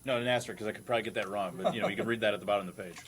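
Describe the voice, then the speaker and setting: A man's voice: on the low side, racing. A man trading casual comic banter as a quiz contestant.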